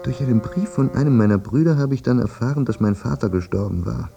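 An actor playing a haunted, war-maimed soldier who recalls the war in a reflective, self-questioning radio drama monologue. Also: male voice, mid-range voice, fast, creaky, mellow, natural voice. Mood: numb, weary. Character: war-maimed soldier brooding over his memories, haunted, plain-spoken. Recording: muffled radio play recording with music. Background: music.

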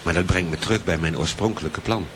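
An actor playing a scheming, calmly menacing detective writer who insists and repeats himself, in an improvised radio drama. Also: male voice, low voice, fast, noisy background, lightly acted.

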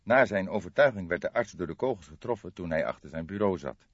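A man with a low voice narrates crime fiction dramatically. His pitch moves in a lively way, and the recording is very dry and slightly dull. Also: fast talker, husky, dry recording. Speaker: audiobook narrator.

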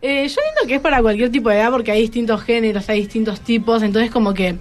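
A teenage student with a feminine-sounding voice and a Rioplatense Argentine accent, speaking as a guest on a school radio show. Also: female voice, fairly high pitch, expressive, fast talker.

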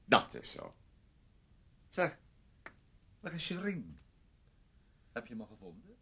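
A man's voice: medium pitch, huge pitch swings, takes it slowly, creaky, a bit bright, a little hiss or hum, heavily acted. Bossy man with swooping intonation. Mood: suspicious. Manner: imperious, probing, theatrical. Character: bossy, prying, needling man who orders another around. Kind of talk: radio play acting.

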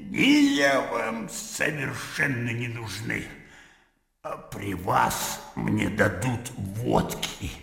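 An actor playing a sick, irritable, boisterous old colonel in a radio drama, gravelly and wheezing, blunt and blustering. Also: male voice, on the high side, fairly bright tone, echoey room, in a large hall, heavily acted. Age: older. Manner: blustering, blunt, chuckling between phrases.

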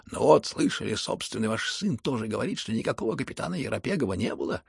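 A man's voice: on the low side, sing-song, fast, creaky, husky, dry recording, clean studio sound, exaggerated voice. A man voicing a sickly, aggrieved young man in an audiobook, protesting in a mocking, sneering way. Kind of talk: dramatized audiobook reading.

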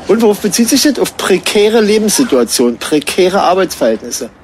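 A man bantering casually as a talk host, halting and repeating himself as he searches for words. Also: sits high, very animated, darkish tone, a little hiss or hum. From East Germany.

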